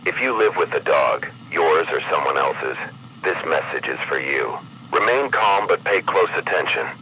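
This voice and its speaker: A man reading a scripted horror emergency broadcast as an announcer, in a grave, measured, ominous manner. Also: very deep voice, expressive. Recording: muffled broadcast with clear background noise.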